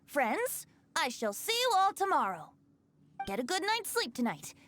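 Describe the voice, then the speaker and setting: A woman's voice: high-pitched, sing-song, measured pace, fairly bright tone, faint music behind, heavily acted. A woman voicing a playful, self-assured young male bard in a video game, light and boyish, with grandiose, flowing speech. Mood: grand, poetic.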